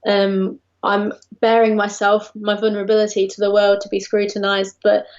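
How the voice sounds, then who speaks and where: A woman's voice: mid-range voice, monotone, moderate pace. A woman with an English accent, a singer-songwriter answering interview questions over a call in a friendly, chatty way. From England. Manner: friendly, laughs often, uses fillers. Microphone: remote call. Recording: dull lo-fi call audio.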